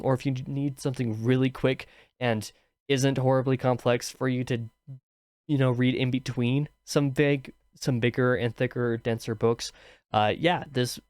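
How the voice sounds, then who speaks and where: A man in his twenties or so talks through a book review at an easy, meandering pace. His voice is worn and a bit scratchy from a long day of talking, yet it stays smooth at its core. The recording is close and dry, with no room sound. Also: medium pitch, a little mellow, no echo. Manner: rambling, many fillers and restarts. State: tired, slightly scratchy voice. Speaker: book review channel host. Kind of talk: casual spoken book review.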